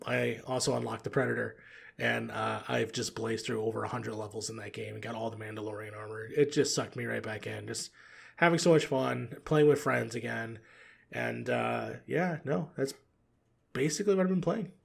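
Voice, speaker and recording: A man chatting casually as a podcast guest, telling rambling stories in slangy language with a gravelly, husky voice. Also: medium pitch, fairly even intonation, moderate pace. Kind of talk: casual gaming chat.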